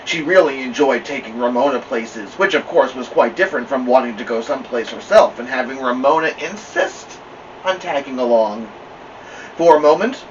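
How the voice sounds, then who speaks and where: A man with a slightly high voice narrates a children's story very expressively, his pitch moving widely. He sounds some way from the mic, in a slightly dull recording with faint noise. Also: distant mic. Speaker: storybook reader.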